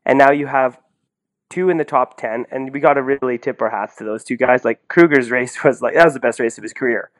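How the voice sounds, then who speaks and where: A man's voice: medium pitch, fairly quick. A man talking casually and bluntly about sports as a podcast commentator, incredulous and full of rhetorical questions and the word "like". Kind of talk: casual opinionated sports talk.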